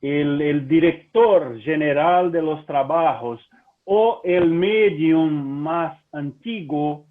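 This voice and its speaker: A Brazilian man with a Portuguese accent lectures in Spanish at a very slow, careful pace. His voice sits a little high. The audio is narrow and tinny, like a phone call. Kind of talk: formal lecture over video call.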